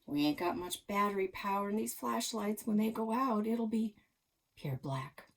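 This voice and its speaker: A woman's voice: mid-range voice, expressive, normal speed, vocal fry, voice pushed up. A woman voicing a nervous, melodramatic young male detective in a storybook, with an anxious, pleading, folksy manner.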